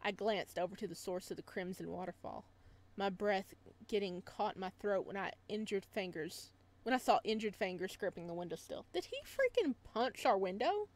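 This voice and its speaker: A woman's voice: medium pitch, lively intonation, normal speed, a little mellow. A woman playing through a visual novel, reading it aloud with dramatic narration, occasional stumbles and casual asides. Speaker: video game playthrough host.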